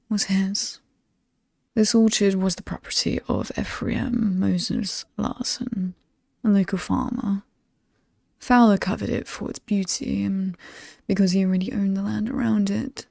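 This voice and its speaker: An alluring, wealthy British demoness with playful menace, acted by a woman. She speaks slowly and intimately close, in a soft dark tone that frays into creak and slips into whispers. Her manner is teasing, aristocratic and coolly amused, made for horror ASMR. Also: medium pitch, no echo. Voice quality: breathy, often dropping to a whisper. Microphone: close, dry microphone.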